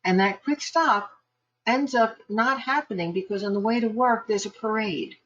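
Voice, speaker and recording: A woman giving live psychic astrology readings online, chatty and quick to laugh, with frequent restarts. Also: fairly high pitch, a bit bright, highs cut off.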